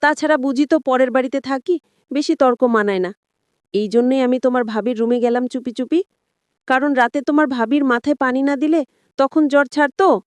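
A woman acts out a sly, defensive husband in a narrated family story. The voice is high and dry, with persuading, self-pitying talk in colloquial rural Bengali. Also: fairly quick, smooth, no echo, lightly acted. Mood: self-pitying excuses.

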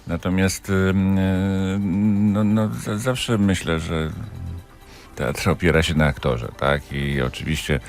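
A man discussing theatre as a radio interview guest, haltingly searching for his words. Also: low voice, steady tone, soft background music.

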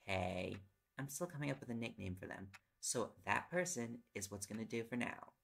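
A man's voice: on the low side, lively intonation, normal speed, creaky, heavy background noise. A man playing a tired, affectionate young reporter boyfriend in an intimate audio roleplay, playful and coaxing in manner. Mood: absorbed, musing. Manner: playful, coaxing, rambling when on a topic. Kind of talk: intimate audio roleplay, sleep-aid acting.

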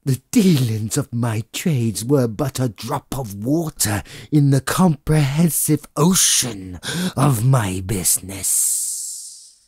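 A man voicing the anguished, remorseful ghost of a dead businessman in a slow, mournful lament for an audiobook. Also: medium pitch, expressive, darkish tone, husky, dry recording, clean studio sound. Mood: anguished outcry.

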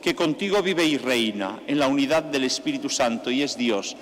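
An older man, a priest, leading mass with a slow, measured liturgical delivery into a stand microphone in a large church. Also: medium pitch, lively intonation. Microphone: stand mic at the altar. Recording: long church reverb, faint background noise.

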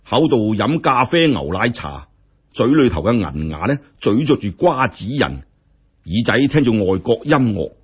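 A man telling a story in colloquial, expressive narration with wry asides. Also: mid-range voice, slightly rough. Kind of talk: dramatic audiobook-style narration. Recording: dull, muffled lo-fi audio.

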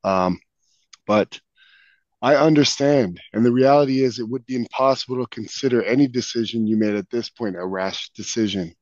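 A hoarse man on a video call reciting sales closing lines with a confident, scripted delivery. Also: medium pitch, normal speed, darkish tone, no echo, lo-fi sound. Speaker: sales trainee. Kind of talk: sales role-play.

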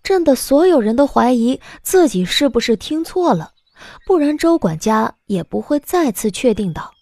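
A woman narrating an audiobook drama in an expressive, scripted storytelling style. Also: high-pitched, normal speed, dry recording.

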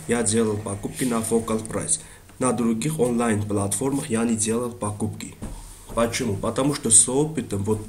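A man with a non-native Russian accent who talks haltingly, full of fillers and restarts, doing a screen-recorded shopping review. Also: fairly low pitch, darkish tone, a little hiss or hum. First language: not Russian.

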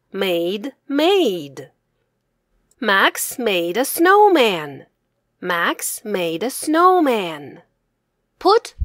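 A woman's voice: a little high, dry recording, clean studio sound. A woman reading scripted children's storybook narration as its author, enunciating carefully for English learners.

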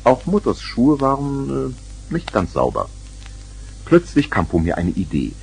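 A man's voice: medium pitch, wildly swooping pitch, a little slow, creaky, a little mellow, a little hiss or hum, rough recording. A man narrating a scripted children's story.

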